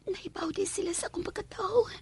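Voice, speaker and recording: A timid young housemaid in a radio drama, speaking in a very high voice. The delivery is anxious, hesitant and deferential, with a Filipino accent. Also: female voice, fairly even intonation, quick pace. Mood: nervous.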